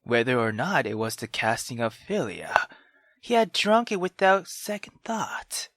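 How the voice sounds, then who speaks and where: Male let's player, informal and expressive, unhurried delivery with lively pitch, reading a story game aloud. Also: no echo, studio quality. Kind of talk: reading a visual novel aloud with reactions.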